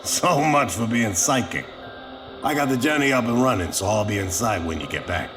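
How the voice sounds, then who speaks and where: A man's voice: lowish voice, animated, moderate pace, music in the background, lightly acted. An actor playing a wry private detective narrating his case in an audio drama, dry and colloquial. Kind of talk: audio drama acting and first-person narration.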